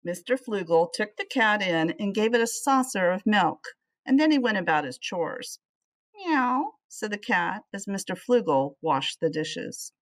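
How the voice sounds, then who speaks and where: A middle-aged woman reads a picture book aloud to children. Her pitch moves around a great deal, and she adds little asides in an animated teacherly manner. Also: moderate pace. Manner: animated, addressing young listeners. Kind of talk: expressive children's storybook read-aloud with asides.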